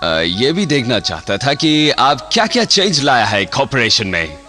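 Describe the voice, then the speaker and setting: Dogged colonial police officer, British-accented Hindi, formal, halting, bright voice, lively intonation, radio play, music behind. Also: male voice, mid-range voice. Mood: hesitant, deferential. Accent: British-style accented Hindi, put on. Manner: halting, formal, mixes in English words.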